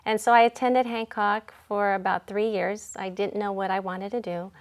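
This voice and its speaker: A woman in her forties, a school principal, talking in a relaxed interview in a reflective, unhurried way with many ums and pauses. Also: medium pitch. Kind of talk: casual interview talk.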